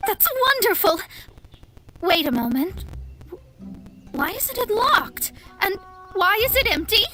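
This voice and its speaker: Haughty young astrologer girl for a game, super animated and bright, dramatic, bit of music behind. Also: extremely high pitch, slow pace, exaggerated voice. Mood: puzzled, alarmed. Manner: haughty, theatrical, formal wording. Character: proud, dramatic young female astrologer, easily exasperated. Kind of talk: video game character acting.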